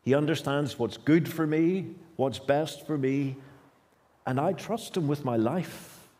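A man's voice: on the high side, takes it slowly, a little mellow. A man in his fifties with a Northern Irish accent, preaching an emphatic sermon at a lectern in a church hall. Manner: emphatic, repetitive preaching with sudden bursts of speed. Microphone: stand mics at lectern, far camera.

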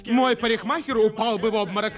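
A man's voice: high voice, fairly even intonation, fast, music in the background, natural voice. An actor voicing a vain, pampered male house cat, fussy and theatrical, in a cartoon dub. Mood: proud.

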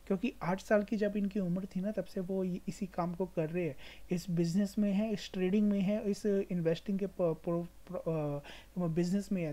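An Indian woman hosts an informal screen-share explainer in a mellow, relaxed voice that sits a little low. She advises emphatically, repeating phrases to drive points home, and mixes English words freely into her speech. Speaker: stock market video host.

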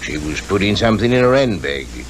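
Observant, obliging British porter, animated delivery, 1950s radio thriller with slight background noise. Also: male voice, fairly low pitch, fast talker. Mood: earnest, helpful. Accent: British English. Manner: plain-spoken, deferential, step-by-step telling. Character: observant, obliging male hotel porter giving his account. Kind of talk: 1950s radio drama acting.

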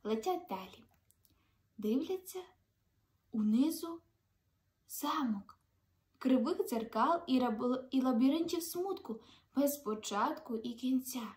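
High-pitched young woman reading a fairy tale. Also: animated, takes it slowly, pauses often, darkish tone. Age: young adult. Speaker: storyteller. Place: indoors in a room, seated.

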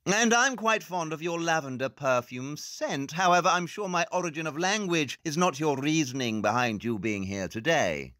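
An actor playing a brisk, aloof detective with a British accent and a formal, pedantic manner in an audio drama. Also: male voice, on the high side, lively intonation, bright, forceful tone, no echo, studio quality. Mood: dry, brisk.

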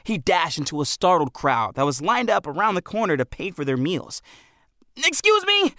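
Animated male audiobook narrator, dry studio sound. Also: a little high, brisk, no room sound. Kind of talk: expressive scripted story narration.

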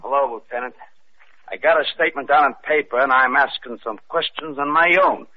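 An actor playing a blustering, dim-witted police sergeant, in 1940s radio acting style. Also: male voice, mid-range voice, brisk, lightly acted. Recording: muffled old radio recording.

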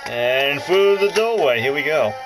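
Jokey male gamer with lively pitch. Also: unhurried, low-quality recording. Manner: rambling, jokey, self-interrupting. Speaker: gaming video host. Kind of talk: casual let's play commentary. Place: indoors, about a foot from the handheld console. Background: game music from the console speaker.

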